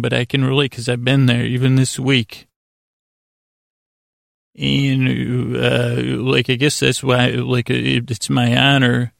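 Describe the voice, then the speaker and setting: Creaky-voiced man, halting and rambling. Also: medium pitch, no room sound, clean studio sound. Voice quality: gravelly, creaky voice. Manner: halting, meandering, stammering self-corrections. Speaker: sleep podcast host. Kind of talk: rambling, improvised bedtime monologue.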